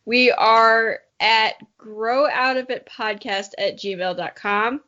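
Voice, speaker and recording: A woman's voice: on the high side, fairly even intonation, slow pace, bright, forceful tone, lo-fi sound. A woman hosting a podcast, chatting casually in a jokey, self-deprecating way. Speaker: podcast host. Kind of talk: casual podcast outro chat.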